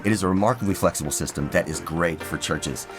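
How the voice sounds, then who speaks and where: A man's voice: low-pitched, quick pace, over music. A man hosting a product review video, presenting scripted narration to the viewer. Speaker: video host.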